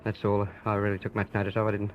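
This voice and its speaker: Fast-talking ambulance driver. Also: male voice, fairly low pitch, monotone. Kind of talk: brief, hesitant interview answers. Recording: dull old location tape, people around.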